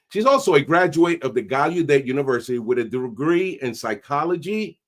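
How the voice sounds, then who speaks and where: A man, hosting a podcast with energetic, streetwise talk sprinkled with Spanish. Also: medium pitch. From New York. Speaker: podcast host. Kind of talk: casual interview chat.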